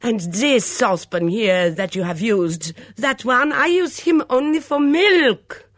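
A woman's voice: fairly high pitch, lively intonation, takes it slowly, no room sound, studio quality, pitched above the performer's own voice. A woman voicing an indignant, dramatic foreign cook who speaks broken English with emphatic repetition in an audiobook story. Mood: outraged, possessive.

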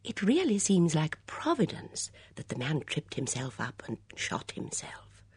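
A woman narrator acts out a gentle old lady of a mystery storybook, prim and gossipy. The voice lifts high and wavers up and down, hesitant and murmuring, yet quietly shrewd. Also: wildly swooping pitch, a little slow, breathy edge, no room sound, exaggerated voice. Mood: gossipy, fretful. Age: older.

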